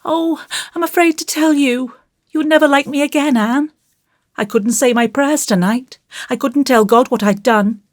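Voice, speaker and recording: A woman playing a small boy, high voice, pleading, penitent, blurting, childlike storybook character, dry recording. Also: moderate pace, no room sound, close to an everyday voice. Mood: miserable, confessing. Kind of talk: audiobook character voice.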